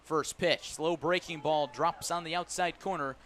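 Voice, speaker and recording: A man's voice: fairly high pitch, fairly even intonation, moderate pace, a bit bright. A man calling baseball play-by-play live on the radio from a ballpark, punching up the big moments. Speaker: play-by-play announcer. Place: outdoor ballpark broadcast.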